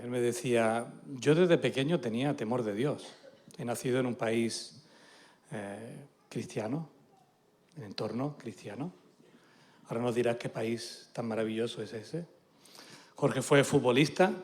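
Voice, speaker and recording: Man, church interviewer, playful questions, mid pitch. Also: a little slow, pauses often.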